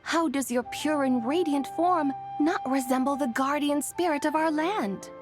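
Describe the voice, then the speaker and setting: A woman voicing an ancient, gracious immortal in a video game, with a formal, measured, old-fashioned manner. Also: high voice, music in the background, natural voice. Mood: reverent. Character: ancient, dignified adeptus woman, gracious and lofty.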